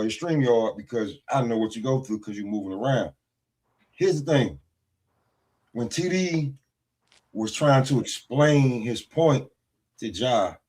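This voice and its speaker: A man's voice: medium pitch, darkish tone. A man in his forties co-hosting a boxing stream, rambling and joking in casual banter. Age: 40s. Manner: joking, rambling, calls others bro. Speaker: stream co-host.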